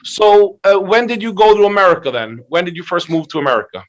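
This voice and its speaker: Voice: mid-range voice, very animated, quick pace, poor audio. A man hosting a conversational video interview, asking questions and reacting with short interjections. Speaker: interview host.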